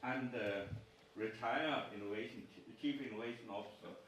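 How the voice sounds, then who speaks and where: A man's voice: mid-range voice, normal speed. A man from Denmark, a retired innovation officer introducing himself with dry self-mockery. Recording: very echoey room, distant mic, loud crowd and noise.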